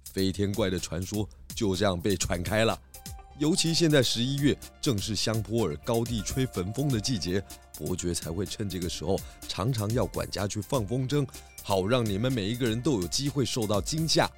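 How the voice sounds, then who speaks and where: An actor playing a shrewd pig detective who explains and admonishes in a children's audio drama. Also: male voice, on the low side, lively intonation, moderate pace, close to an everyday voice. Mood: explaining. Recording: music behind the voice.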